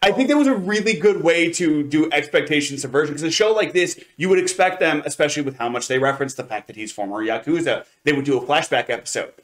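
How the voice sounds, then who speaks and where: Guy with a bit of a higher voice, casual review chat, kinda rambly and analytical. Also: fast talker, hardly any pauses. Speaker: co-host of an anime review show.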